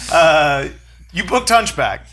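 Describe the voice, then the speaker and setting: A man hosting a relaxed at-home chat, his voice lively and full of swings. The tone is bright and clear, and he rambles and jokes around easily. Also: fairly high pitch. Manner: playful, rambling, joking. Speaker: host. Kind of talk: casual chat.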